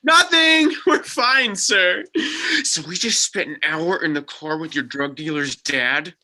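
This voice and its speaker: Nervous young sleuth, played by a man: sharp, bright, higher-pitched voice, sarcastic and exasperated, animated table-read delivery. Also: a little slow, heavily acted. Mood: flustered. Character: Art, nervous sarcastic young paranormal investigator. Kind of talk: live script table read.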